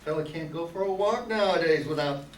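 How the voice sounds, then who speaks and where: A man's voice: a little high, brisk, close to an everyday voice. An amateur actor playing George Bailey, a restless, stubborn young small-town man in an old-time radio drama. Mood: defensive, awkward. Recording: distant echoey room, noisy hiss.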